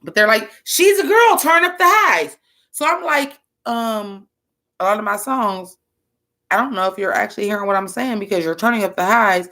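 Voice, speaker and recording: A woman rapper with a deep, bass-heavy voice, chatting loosely to her viewers as she reacts to her own old album. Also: expressive, moderate pace, pushed and bright. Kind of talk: casual reaction commentary.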